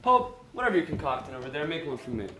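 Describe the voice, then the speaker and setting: A man playing a blustering upper-class gentleman with a plummy, exclamatory manner. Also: fairly high pitch, very animated, quick pace, reverberant, distant mic, background noise.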